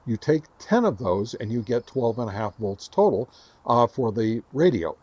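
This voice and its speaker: A man's voice: mid-range voice, big pitch swings, moderate pace, a little mellow, slightly rough, no echo. A man casually showing and explaining gear as host of a hobby review video, chatty and enthusiastic. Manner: chatty, enthusiastic about gadgets. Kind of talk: casual hands-on product demo and explanation. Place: home radio shack.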